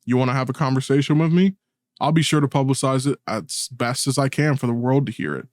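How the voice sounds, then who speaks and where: A man's voice: mid-range voice, a little fast, a little mellow, no echo, clean studio sound. A man speaking earnestly and colloquially in a personal video essay, as the creator narrating it.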